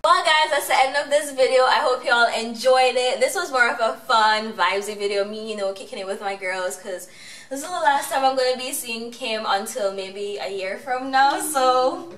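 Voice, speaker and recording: A young woman hosting a casual chat on a couch in a bright lobby, playfully repeating new words slowly. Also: fairly high pitch. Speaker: host. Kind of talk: casual language-learning chat. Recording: roomy, distant mic with faint hum.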